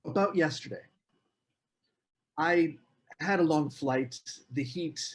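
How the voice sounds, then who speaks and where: A man reads the part of a prickly American scholar with a snobbish streak. His voice sits a little high, and he talks in a dry, sardonic, slightly awkward way, as at a table read of a script. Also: takes it slowly. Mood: sheepish.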